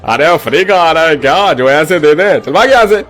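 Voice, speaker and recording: Man playing a brash, loud street food hawker. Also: fairly high pitch, animated, normal speed, faint music behind. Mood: scornful. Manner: brash hawker's calling, scornful. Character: loud, heartless male street food vendor. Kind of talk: melodramatic story voice acting.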